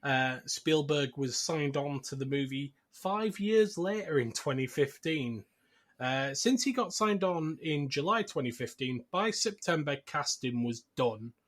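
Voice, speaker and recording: Man, fairly high voice, podcast host, casual film chat. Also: expressive, unhurried.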